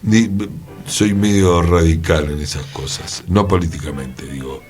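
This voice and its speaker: A man's voice: very deep voice, a little slow, faint music behind. A man with an Argentine accent in a conversational radio discussion, speaking in an emphatic, reflective way.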